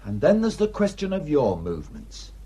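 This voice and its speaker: An actor playing a respectful, hesitant but quietly challenging male villager in a radio drama. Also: a little high, huge pitch swings, moderate pace, breathy edge, heavily acted. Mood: timidly challenging.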